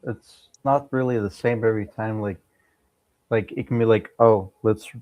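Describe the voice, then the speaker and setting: Halting, low-voiced man chatting. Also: fairly even intonation, no room sound, lo-fi sound. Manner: halting, repeats words mid-sentence. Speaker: interview guest, amateur musician. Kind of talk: casual interview chat.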